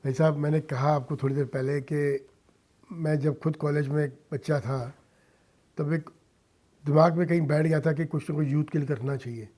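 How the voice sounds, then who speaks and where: A man giving earnest interview answers in emphatic, halting short phrases, as a guest in a radio studio. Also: on the high side, steady tone, dark tone. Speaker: interview guest, industrialist and philanthropist. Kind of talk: earnest interview answers mixing Hindi and English.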